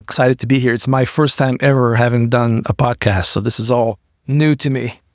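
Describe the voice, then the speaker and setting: A man's voice: medium pitch, steady tone, normal speed, a little mellow, no room sound, clean studio sound. A middle-aged man from Iran, a podcast guest telling his story earnestly and often repeating words as he builds up. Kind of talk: casual interview talk.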